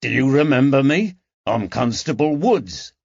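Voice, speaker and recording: A man voicing a patient police constable who asks his questions gently and coaxingly. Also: mid-range voice, big pitch swings, unhurried, vocal fry, husky, no echo, voice pushed up, full character voice. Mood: gentle. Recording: dull, dry audiobook recording.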